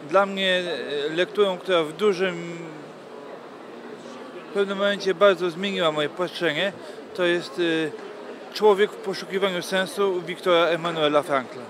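A man's voice: high voice, fairly even intonation, normal speed, a crowd in the background. A man in his thirties, a priest, earnestly recommending a book in an interview. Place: busy indoor book fair hall. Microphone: handheld interview mic. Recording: crowded book fair hall.

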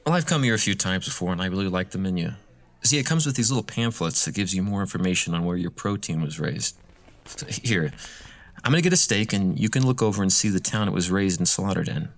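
Man acting as eager creepy guy next door, low voice, chatty, awkward, horror podcast drama. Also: animated, fast talker. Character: chatty, awkwardly eager male nurse and neighbour, faintly creepy. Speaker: actor in a horror audio drama. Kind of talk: scripted audio drama dialogue.

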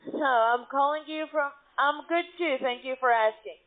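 A woman with a high voice makes a scripted sales call as an account manager. She sounds squeezed through a narrow, tinny telephone line. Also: measured pace.

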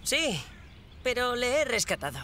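A woman's voice: a little high, sing-song, unhurried, heavy background noise. A woman voicing a mischievous, eager young boy in a dubbed cartoon, talking in a cheeky, wheedling way. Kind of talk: cartoon dubbing.

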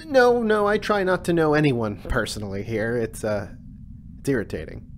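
A woman's voice: low voice, normal speed, slight background noise, lightly acted. A woman playing an irritable, hungover male desk clerk in a comic audio drama, muttering and curt. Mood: curt, queasy.

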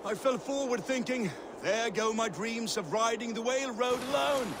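Creaky, high-voiced Viking raider. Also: male voice, normal speed. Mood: boastful, wry. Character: rough, boastful Viking raider reminiscing. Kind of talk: scripted game storytelling. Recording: clear water and boat noise.

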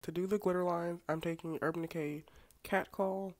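A woman's voice: fairly low pitch, fairly even intonation, normal speed, darkish tone. A young woman with a congested, stuffy voice, chatting casually through a makeup tutorial with self-mocking asides. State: congested from a cold. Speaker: beauty vlogger. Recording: faint background music.

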